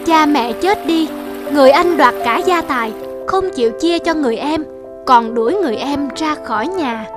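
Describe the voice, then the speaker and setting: High-pitched woman telling a folk tale. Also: moderate pace. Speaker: narrator. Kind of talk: children's folk tale narration. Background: children's music.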